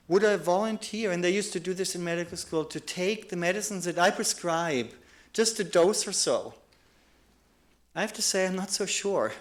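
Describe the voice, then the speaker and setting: Earnest German-accented male lecturer. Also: high voice. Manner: earnest, with many restarts and repeated words. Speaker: psychiatrist giving a lecture. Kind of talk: conference lecture.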